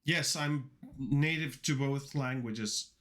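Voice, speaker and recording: A man's voice: mid-range voice, takes it slowly, fairly bright tone. A young man who grew up speaking Dutch and Turkish, chatting casually on a livestream while he codes, rambling with lots of fillers and restarts. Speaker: coding livestreamer.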